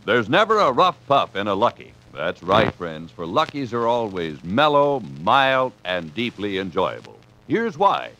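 A man's voice: fairly low pitch, huge pitch swings, unhurried, hardly any pauses, bright, forceful tone, dull audio. A man delivering a scripted 1950s radio sponsor announcement. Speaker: commercial announcer.

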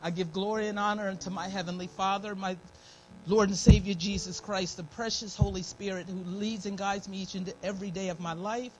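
A woman's voice: medium pitch, steady tone, a little fast, darkish tone. A middle-aged American woman giving an informal, folksy, devout sermon as a church elder, her throat slightly scratchy and dry. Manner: folksy, devout, repeating phrases of thanks. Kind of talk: informal sermon with personal testimony and prayer. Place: church meeting room, at a pulpit. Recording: noisy live church stream recording.

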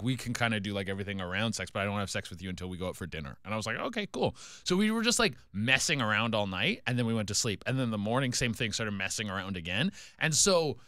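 A man in his thirties from Canada, a comedian telling crude stories on a podcast in a small studio at a boom mic. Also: fast talker, hardly any pauses, no echo. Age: 30s. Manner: animated storyteller, swears freely. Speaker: guest comedian. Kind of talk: casual podcast chat. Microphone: studio mic on boom arm.

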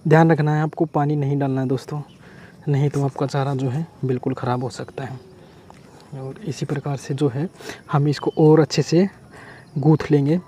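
A man with a very dark, mellow tone and a fairly high voice chats his way through a how-to. He is outdoors by a river, and there is faint noise behind him. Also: steady tone, normal speed. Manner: chatty and repetitive, often says "friends". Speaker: fishing channel host. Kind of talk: casual how-to demonstration.

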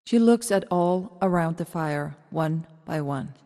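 A woman playing the calm narrator of a radio drama, reading scene descriptions. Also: deep voice, unhurried. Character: female narrator reading stage directions, calm observer. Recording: long reverberant hall echo.

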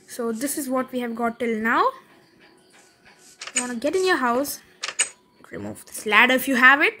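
Bright high-voiced woman narrating gameplay. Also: slight background noise. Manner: chatty, fillers, quick laughs, thinks aloud while building. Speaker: Minecraft building tutorial creator. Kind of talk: casual game tutorial narration.

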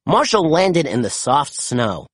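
A storybook narrator reading aloud to children in an expressive, animated way with dramatic emphasis. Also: medium pitch, slow, breathy edge, dry recording, studio quality.

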